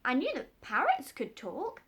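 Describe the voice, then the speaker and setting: A woman's voice: sits high, wildly swooping pitch, measured pace, fairly bright tone, voice pushed up, full character voice. Woman doing a mild, polite doctor. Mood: mild, curious. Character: gentle, kindly man, a country doctor.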